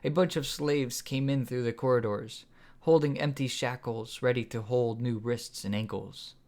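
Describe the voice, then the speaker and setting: A man's voice: medium pitch, fairly even intonation, normal speed, darkish tone. A man reading his own story as scripted audiobook narration.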